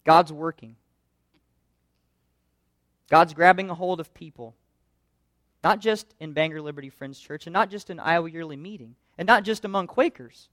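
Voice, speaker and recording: A man's voice: a little high, moderate pace, long pauses, fairly bright tone, no room sound, clean studio sound. A man pastor preaching a sermon in an earnest, conversational way, full of rhetorical questions.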